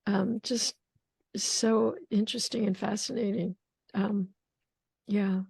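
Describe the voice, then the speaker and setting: A woman hosting a poetry conversation over a video call, thoughtful and searching, with many pauses and restarts. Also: medium pitch, fairly even intonation, vocal fry, a little mellow, husky, no room sound. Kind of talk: casual video call discussion.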